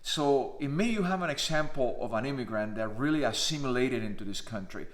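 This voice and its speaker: A man's voice: medium pitch, animated, fairly quick. A man in his fifties from Cuba with a Cuban Spanish accent, whose first language is Spanish, giving an earnest, emphatic personal testimony to camera with frequent "uh" fillers. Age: 50s. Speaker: pastor. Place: indoors, in front of a flag.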